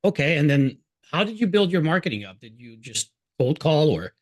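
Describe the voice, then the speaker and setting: A man's voice: fairly high pitch, very animated, moderate pace. A man from Hungary hosting an interview podcast, friendly, laughing and asking follow-up questions. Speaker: podcast host. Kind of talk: casual interview conversation.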